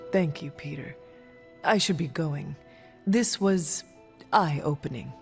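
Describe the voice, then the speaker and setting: A solemn, resolute warrior who hunts demons in a video game. The voice is mid-pitched and grave, with formal, measured phrasing. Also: creaky, soft background music. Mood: calm, formal. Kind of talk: video game cutscene dialogue.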